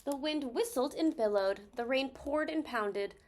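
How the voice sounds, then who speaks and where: A woman reading a children's story aloud expressively, stressing key words with deliberate phrasing. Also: sits high, animated, a little mellow.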